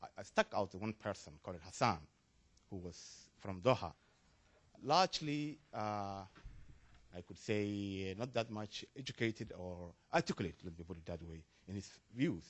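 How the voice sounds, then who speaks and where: Husky low man, halting audience comment. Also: lively intonation, dull audio. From Somalia. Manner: halting, with frequent restarts and rising emphasis. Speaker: audience member asking a question. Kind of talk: spontaneous panel floor comment. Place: conference hall.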